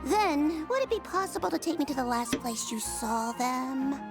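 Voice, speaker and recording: A woman's voice: high-pitched, animated, normal speed, music in the background. A woman voicing an earnest, polite, methodical young woman investigator in a video game. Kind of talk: animated video game voice acting.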